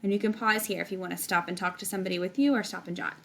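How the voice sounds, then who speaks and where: A woman's voice: mid-range voice, steady tone, rapid-fire, gravelly, fairly bright tone. A woman reading a picture book aloud to children, with chatty asides and checking questions.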